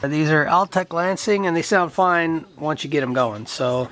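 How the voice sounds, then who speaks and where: A man's voice: on the high side, moderate pace, fairly bright tone. A man from the United States hosting a casual show-and-tell video, rambling and thinking aloud as he goes. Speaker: video host.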